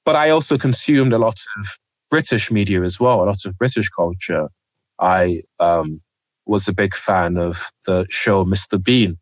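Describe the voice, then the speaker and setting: Soft-spoken, hesitant young man from Nigeria. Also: low-pitched, normal speed, no echo. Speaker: interview guest, journalist. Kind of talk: reflective conversational interview.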